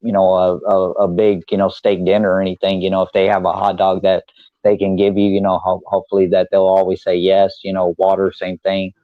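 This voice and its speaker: A man's voice: low-pitched, monotone, brisk, no room sound, narrow, tinny audio. A man co-hosting an advice show, chatting casually in a rambling, hesitant way and repeating himself. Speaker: advice call-in show co-host. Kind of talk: casual chat.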